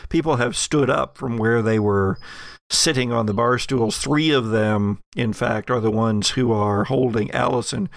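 Gravelly man running a horror game. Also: medium pitch, measured pace, no room sound. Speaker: game master. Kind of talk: narrating an actual-play horror roleplaying game.

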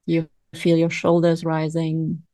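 Female meditation guide, lowish and very unhurried, calm and soothing, leaving long pauses, close dry sound. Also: dry recording. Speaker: meditation coach.

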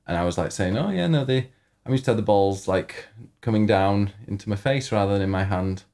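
A man co-hosting a casual podcast, slightly hoarse from coughing, rambling and teasing, often cutting himself off mid-sentence. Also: on the low side, fairly quick, darkish tone. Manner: teasing, rambling, self-interrupting. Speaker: podcast co-host. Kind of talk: casual chat.

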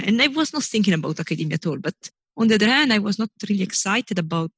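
A woman's voice: medium pitch, a bit bright, breathy edge, dry recording. A middle-aged woman from Italy with an Italian accent, an engineering professor answering interview questions in a loose, searching way with many "you know"s and restarts.

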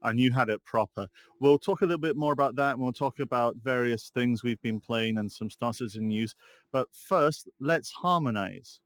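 A man's voice: medium pitch, normal speed, no echo. A man with a mid-range voice co-hosting a gaming podcast. He fills his talk with ums and uhs and laughs often. The recording is dry and close. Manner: lots of um and uh, laughs easily. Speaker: podcast co-host. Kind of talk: casual chat.

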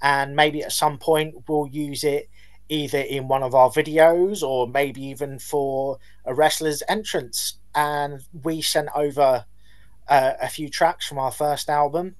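A man, a band's lead singer, answering interview questions in a measured, polite way with some hesitations. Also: on the high side, fairly even intonation. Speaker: band lead singer, guest.

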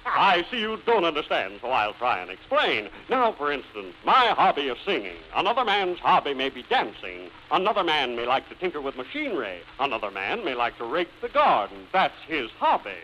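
A man's voice: a little high, wildly swooping pitch, brisk, bright, forceful tone, heavily acted. A man playing a grand, formal master of ceremonies in an old-time radio minstrel show. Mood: patient, explaining. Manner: theatrical, formal. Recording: noisy, dull old radio recording.

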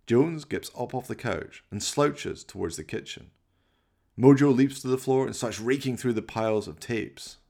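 A man's voice: medium pitch, normal speed, gravelly, warm tone. A man reading dramatic scripted narration for a radio play.

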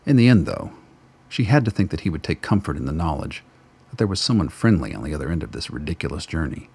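Guy narrating a radio play, really deep and gravelly, kinda husky, wry. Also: sing-song, fast talker, a little mellow. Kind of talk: scripted narration.

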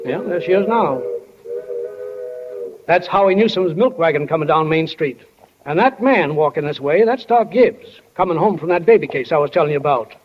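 Old muffled 1940s radio, wry folksy small town narrator, kinda high voice. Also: male voice, a little hiss or hum. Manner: plainspoken, drawling folksy wording. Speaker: stage manager narrator.

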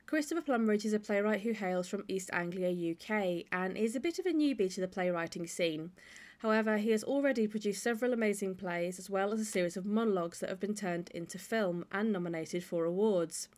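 A woman's voice: medium pitch, fairly quick, darkish tone. A woman hosting a podcast, delivering a scripted introduction in a presenter's style. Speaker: podcast host.

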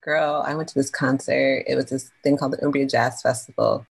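A woman guest on a podcast, an animated storyteller who talks in slang and acts out what people said. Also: very deep voice, fairly quick, gravelly, breathy edge. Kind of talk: casual interview chat.

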